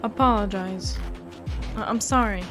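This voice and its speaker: A woman's voice: medium pitch, measured pace. A woman giving playful, sassy commentary as she hosts a game walkthrough, with joking asides and bits read aloud. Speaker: host of a visual novel walkthrough channel. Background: music.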